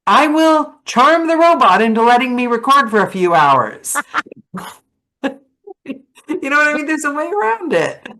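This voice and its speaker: A woman hosting a casual interview over a video call, playful and quick to laugh. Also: high-pitched, sing-song, sharp tone, low-quality recording. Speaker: podcast host.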